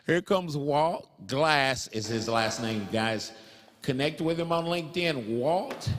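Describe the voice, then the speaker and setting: A man's voice: medium pitch, expressive, takes it slowly, a bit bright, a little hiss or hum. A man hosting a career networking meeting, rambling with jokey self-deprecating asides between announcements. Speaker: networking group host. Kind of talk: live event emcee talk. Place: event hall. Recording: reverberant event hall.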